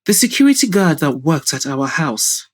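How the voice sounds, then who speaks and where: A woman's voice: deep voice, sing-song, normal speed. A woman voicing a composed, determined daughter in an audio drama, questioning coolly and politely with a pointed manner. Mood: controlled, polite.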